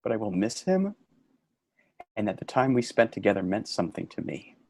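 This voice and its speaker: A man's voice: on the low side, sing-song, brisk, dry recording. A man acting in a play reading as an earnest, fed-up male office worker, grieving and sardonic, with an emotional delivery. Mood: solemn, heartfelt. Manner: emotional, swinging from shouting to quiet grief. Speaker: actor in a staged reading.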